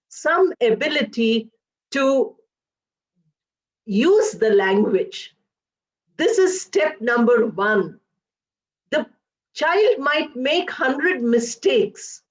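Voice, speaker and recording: A woman lectures slowly and with care, on a somewhat high voice, leaning on single words as she lays out ideas step by step. The sound is dull and lo-fi, like an online class picked up through a computer mic. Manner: explains step by step with simple examples, stretches words for emphasis. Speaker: English professor giving a lecture. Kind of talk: slow, deliberate academic lecture.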